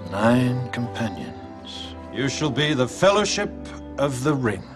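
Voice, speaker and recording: An actor playing an ancient elf lord who speaks with slow, grave authority over a council, in a film scene. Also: male voice, medium pitch, big pitch swings, over music. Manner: slow, grave, measured pronouncements. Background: orchestral film score.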